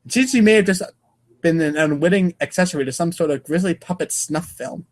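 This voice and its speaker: Wry man reading comic narration, slightly high voice. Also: brisk, darkish tone. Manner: wry, irreverent, stumbles over lines. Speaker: podcast co-host and reader. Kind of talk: casual read-aloud of comic narration.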